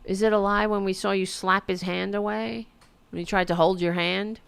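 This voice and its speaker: A woman's voice: mid-range voice, fairly even intonation, moderate pace, creaky, a bit bright, smooth. A woman hosting a podcast with casual political commentary, sarcastic, mocking and profane, often exasperated and repeating her demands. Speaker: podcast host.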